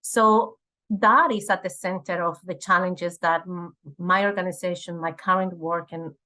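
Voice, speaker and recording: An earnest woman from Ecuador in her forties talks through her answers in a lowish voice. She searches for words often, repeating herself and filling pauses as she goes. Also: fairly even intonation, sharp tone. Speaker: guest, nonprofit fundraising director. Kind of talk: conversational interview answers.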